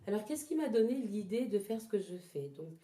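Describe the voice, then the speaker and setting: Very mellow, lowish woman's voice in echoey room. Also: sing-song. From born in Togo, lived in Ghana, moved to France as a child. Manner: hesitant, halting, repeats words as she searches for phrasing. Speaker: interview guest, traditional therapy practitioner. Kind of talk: reflective personal interview answers. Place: indoors in a house. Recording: roomy, echoing indoor sound.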